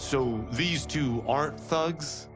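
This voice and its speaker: An actor playing a brash, eager young martial arts fighter in a video game, bold and incredulous. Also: male voice, on the high side, slow pace, over music. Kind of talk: scripted video game cutscene acting.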